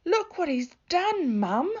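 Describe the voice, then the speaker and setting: A woman voicing a bossy, tattling big sister in a loud, accusing manner for a storybook. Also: very high voice, very animated, slow, muffled recording, pitched above the performer's own voice, heavily acted.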